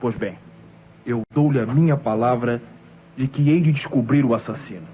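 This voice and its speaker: An actor playing a loyal, determined young Brazilian son turned amateur detective, earnest and insistent, in an old radio drama. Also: male voice, mid-range voice, moderate pace, natural voice. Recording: muffled, noisy old radio recording.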